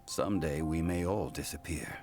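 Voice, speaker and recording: An actor playing an ancient, dignified god in human form in a video game, speaking in a measured, formal and reflective way. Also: male voice, extremely low pitch, over loud music. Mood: solemn, reflective. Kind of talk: scripted video game voice acting.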